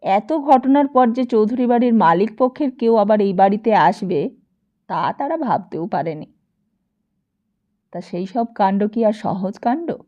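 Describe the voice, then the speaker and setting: A woman narrating a novel as an audiobook in an expressive, dramatic storytelling style. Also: mid-range voice, unhurried, dull audio.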